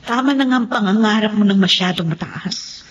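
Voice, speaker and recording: A woman's voice: mid-range voice, fairly quick, slightly rough, close to an everyday voice. A woman playing a devoted, sentimental middle-aged mother in a radio drama, speaking with emotion and hesitation. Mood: tearful, tender. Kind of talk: Tagalog radio drama acting. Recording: muffled radio drama audio with music.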